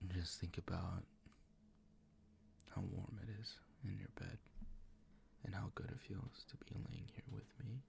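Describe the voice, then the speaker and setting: A man playing a doting, gently dominant boyfriend in an intimate ASMR roleplay, speaking in a soft, coaxing, reassuring way with a breathy, gravelly whisper. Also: low-pitched, fairly even intonation, a little slow, pauses often, a little hiss or hum, heavily acted. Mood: teasing, soothing. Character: doting, gently dominant, teasing boyfriend comforting his partner.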